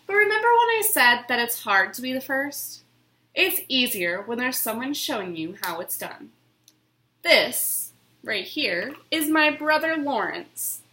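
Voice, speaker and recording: A woman reads a children's book aloud in a theatrical, expressive way. Her voice is bright and swoops widely, taking its time over each phrase in a roomy, echoing space. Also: a little high. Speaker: storybook reader. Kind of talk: dramatic children's book reading. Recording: roomy, echoing room sound.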